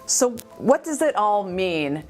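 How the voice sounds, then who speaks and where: A woman's voice: mid-range voice, big pitch swings, darkish tone. Woman reciting slam poetry over music. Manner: deliberate, dramatic phrasing with pauses. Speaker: amateur slam poet.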